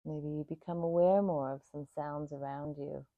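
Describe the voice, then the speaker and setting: A woman guiding a meditation in a soothing, unhurried way, speaking in short phrases with pauses between them. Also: low-pitched, fairly even intonation, dry recording, narrow, tinny audio. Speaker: yoga nidra meditation guide. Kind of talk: guided meditation.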